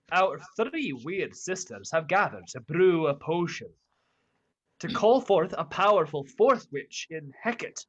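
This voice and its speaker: A woman's voice: fairly low pitch, lo-fi sound. A woman reading scripted narration as the narrator of a radio play.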